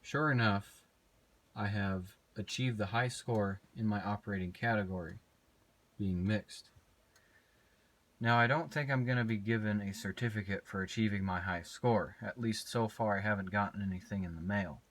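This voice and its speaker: An American man who works as an amateur radio operator, talking in a measured, matter-of-fact way. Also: lowish voice, fairly bright tone. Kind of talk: ham radio contacts and casual narration.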